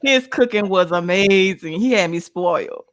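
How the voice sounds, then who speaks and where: A woman's voice: medium pitch, normal speed, dry recording. A woman in her forties chatting casually and playfully with friends on a group show. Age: 40s. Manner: laughing, playful.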